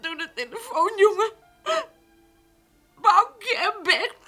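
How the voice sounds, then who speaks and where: Sobbing, very high-voiced mother. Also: expressive, pushed and bright, soft background music, exaggerated voice. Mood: grief-stricken. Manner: halting, stammering. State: crying. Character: distraught mother of a grown farmer. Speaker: actor in a radio drama. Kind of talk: Dutch radio play acting.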